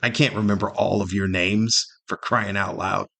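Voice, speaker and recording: A man's voice: on the low side, fairly even intonation, normal speed, vocal fry, fairly bright tone. An older man with an American accent, talking casually and in a rambling, self-deprecating way as he hosts a solo podcast. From Southern California, United States. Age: older, 50s or above. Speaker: podcast host.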